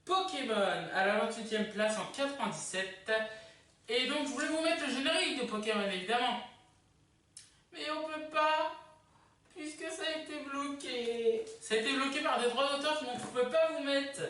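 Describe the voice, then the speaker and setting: A YouTube host casually presenting a countdown video in a chatty, halting way. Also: high voice, a bit bright. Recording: echoey room, distant microphone.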